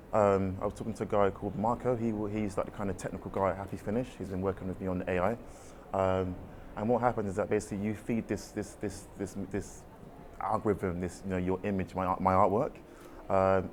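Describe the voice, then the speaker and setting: Artist guy, deep voice, talks fast, lots of sort of and kind of. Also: a little mellow, slight background noise. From London, England. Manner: rambling, hedging with "sort of" and "kind of". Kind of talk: casual interview answers. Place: art exhibition space.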